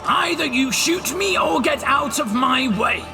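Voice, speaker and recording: Casting: defiant old man played by a male actor, very high voice, slow and scornful, goading delivery. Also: animated, over loud music, heavily acted. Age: older. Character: defiant old man taunting an armed enemy. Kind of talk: audio drama acting.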